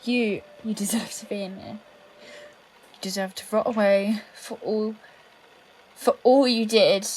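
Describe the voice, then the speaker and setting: An actress playing a guilt-ridden, vengeful police colleague hiding a crime, emotional and halting, in an audio drama. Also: female voice, medium pitch, steady tone, a little mellow, a little hiss or hum, natural voice, rough recording. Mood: bitter, vengeful.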